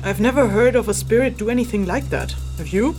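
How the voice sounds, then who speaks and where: An actress playing a focused, inquisitive spirit researcher in a fantasy audio drama, with a measured, probing, businesslike manner. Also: female voice, on the high side, a little mellow, faint music behind, lightly acted. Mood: concerned, probing.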